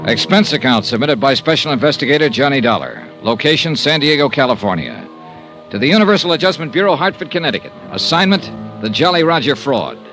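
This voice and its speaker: An actor playing a tough, wry insurance investigator, narrating and talking in a 1950s radio drama with crisp, brisk delivery. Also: male voice, mid-range voice, very animated, music in the background. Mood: determined. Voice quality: crisp, brisk, dry wit.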